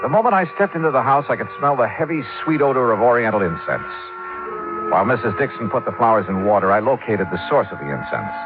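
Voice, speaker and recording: A man's voice: medium pitch, big pitch swings, quick pace, close to an everyday voice. An actor playing a wry, businesslike but polite male insurance investigator who narrates and acts in a 1950s radio detective drama. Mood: brisk, matter-of-fact. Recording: tinny old radio recording with music.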